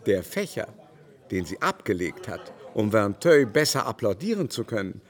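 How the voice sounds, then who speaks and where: An actor playing a pompous, condescending, effusive baron in a radio drama, with a grandiloquent, mannered delivery. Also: male voice, fairly low pitch, very animated, normal speed. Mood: grandiose. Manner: grandiloquent, mannered, swooping exclamations.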